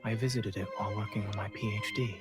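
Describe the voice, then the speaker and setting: A male actor plays a tender young doctor from a romance game, with a low voice. He speaks intimately and with measured, gentle teasing, over loud music. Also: steady tone, close to an everyday voice. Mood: tender, wistful. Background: prominent violin-led game music.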